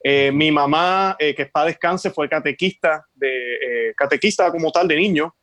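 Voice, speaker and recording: A man in his forties speaks Spanish with a Puerto Rican accent, slightly higher pitched than most men. He tells his story earnestly as a guest, pausing on fillers. The recording is dry, with no room sound. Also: fairly bright tone, no echo. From Puerto Rico. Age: 40s. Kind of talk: casual conversation.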